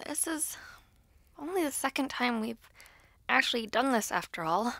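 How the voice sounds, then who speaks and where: A woman's voice: a little high, animated, moderate pace, a bit bright, dry recording. Woman acting a flustered, hesitant schoolgirl. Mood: nervous, flustered. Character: nervous schoolgirl Serena, fretful, eager amateur spellcaster. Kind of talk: audio roleplay acting, addressing the listener.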